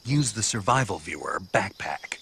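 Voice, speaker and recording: An actor playing a gruff special-forces soldier in a video game, terse and deadpan in manner. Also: male voice, lowish voice, normal speed, a little hiss or hum. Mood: matter-of-fact. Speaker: field agent. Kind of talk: video game cutscene voice acting.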